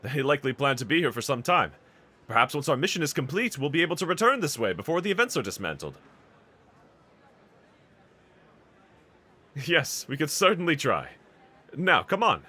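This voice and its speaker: A man performs a bold anime swordsman who slays demons, earnest and reassuring at heart. His voice is bright and very animated in pitch. He speaks in formal, courteous sentences, as if in an audio roleplay addressed to a trusted companion. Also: a little fast, husky, full character voice. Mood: upbeat, dutiful.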